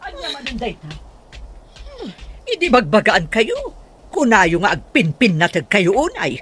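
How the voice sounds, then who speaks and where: A woman's voice: medium pitch, sing-song, a little slow. An actress playing an exasperated, scolding mother in an Ilocano radio drama.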